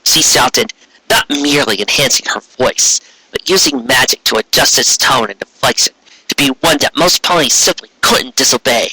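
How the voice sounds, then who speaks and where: A man's voice: medium pitch, animated, moderate pace, sharp tone, breathy edge, no room sound, low-quality recording. A man reading a scripted fantasy story aloud in dramatic narration, now and then stumbling over words. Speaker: narrator reading fan fiction.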